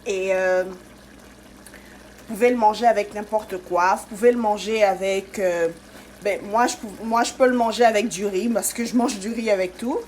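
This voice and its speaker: Brief: woman cooking-channel host from Congo-Kinshasa, mid pitch, casual step-by-step chat, faint kitchen noise. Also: steady tone, normal speed, a little mellow. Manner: chatty, step-by-step, many fillers.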